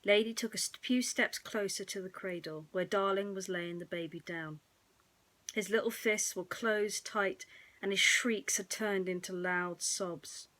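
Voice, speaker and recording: A woman narrating a bedtime story in a soothing, hushed manner that often drops close to a whisper. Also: medium pitch, steady tone, a little slow, low-quality recording.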